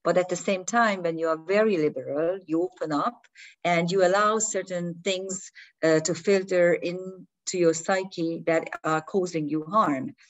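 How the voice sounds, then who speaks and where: Low-voiced woman, hesitant non-native speaker. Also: fairly even intonation, measured pace, poor audio. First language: not English. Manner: hesitant, restarting and searching for words. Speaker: spiritual teacher. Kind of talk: unscripted talk to viewers.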